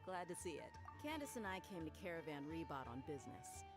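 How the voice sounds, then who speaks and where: A woman's voice: sits low, sing-song, brisk. A woman voicing a brash, easygoing mercenary in a video game, with a breezy, teasing, colloquial manner. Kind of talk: video game character dialogue. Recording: music behind the voice. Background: game music.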